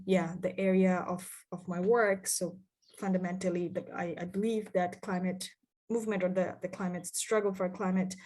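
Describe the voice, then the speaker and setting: A woman's voice: fairly low pitch, a little mellow. A young woman from Bangladesh, a climate activist, answering interview questions thoughtfully with many fillers and restarts.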